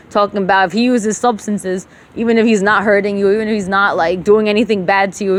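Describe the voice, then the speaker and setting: A woman talks to herself in a raw, rambling vlog monologue, swearing freely and sliding between English and Arabic. Her Arabic carries a rural Levantine accent. She speaks at a middling pitch for a woman, and her delivery stays emotional and unfiltered. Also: a little hiss or hum. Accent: rural Levantine Arabic when speaking Arabic. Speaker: vlogger talking to herself.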